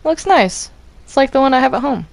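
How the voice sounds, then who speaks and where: A woman's voice: sits high, lively intonation, fairly quick, creaky. A woman chatting casually while gaming, giggly and rambling as she reacts aloud. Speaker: player in a Minecraft roleplay group.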